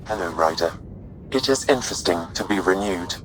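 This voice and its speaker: An actor voicing a calm, courteous male artificial intelligence in a video game, speaking in measured, formal, precise phrases. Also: low voice, slight background noise, poor audio. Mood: calm, reflective.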